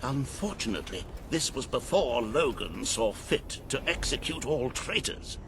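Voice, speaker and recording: An actor playing a fussy, formal royal advisor in a video game, speaking in a pompous, wordy manner. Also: male voice, medium pitch, sing-song, a little slow, background noise. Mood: grave, recounting. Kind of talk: video game cutscene acting.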